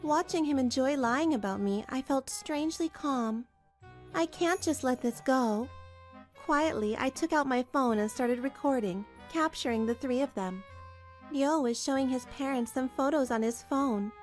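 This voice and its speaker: High-pitched woman narrating a drama story. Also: unhurried, faint music behind. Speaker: narrator and voice actor. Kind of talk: first-person scripted drama narration.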